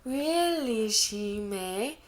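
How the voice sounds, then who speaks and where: A woman's voice: on the high side, animated, very slow. A woman voicing a teasing, pushy young female disciple in a story, chiding and cajoling. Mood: chiding, coaxing.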